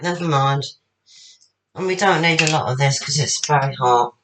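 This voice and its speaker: A woman, slightly husky and tired, chatting casually to herself while making a salad at home. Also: sits low, normal speed, slight background noise, poor audio. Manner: chatty, rambling, talks to herself while working. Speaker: home cook. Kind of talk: casual cooking demonstration.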